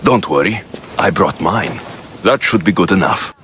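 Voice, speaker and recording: A man's voice: sits low, moderate pace, fairly bright tone, slight background noise. An actor playing a cocky young male Greek mercenary in a video game, talking in a dry, laconic way. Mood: wry, reassuring. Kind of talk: video game cutscene acting.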